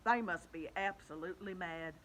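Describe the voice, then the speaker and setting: A woman voicing a brash, boastful male centipede who mocks and jeers loudly, for a storybook read-aloud. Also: fairly low pitch, normal speed, a little hiss or hum, close to an everyday voice, low-quality recording. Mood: smug teasing. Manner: loud, mocking and jeering.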